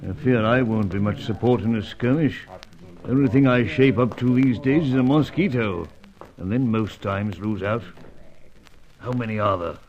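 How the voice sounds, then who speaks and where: An actor playing an elderly, genteel British knight in a radio adventure serial, droll, fussy and given to hesitant musing. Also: male voice, moderate pace, natural voice. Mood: dry, uneasy. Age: older. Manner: droll, ruminative, hesitant, old-fashioned. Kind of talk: old-time radio adventure serial acting. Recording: dull, noisy, rumbling lo-fi audio.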